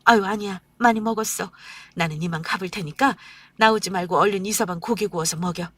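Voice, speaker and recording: A woman voicing a gentle mother in her sixties who soothes and apologizes, with slow old-fashioned speech. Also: medium pitch, slightly rough, no room sound. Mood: placating. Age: 60s. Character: elderly mother, gentle and anxious to keep peace.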